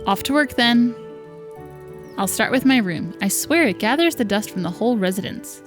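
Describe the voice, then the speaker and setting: A woman's voice: fairly high pitch, animated, normal speed, natural voice. Lady reading as a young servant girl from a visual novel, wry n put-upon but patient, music playing behind. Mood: resolute.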